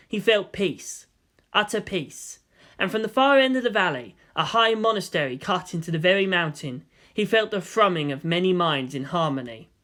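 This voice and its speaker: Fan fiction audiobook narrator: mid-pitched, scripted, expressive storytelling delivery.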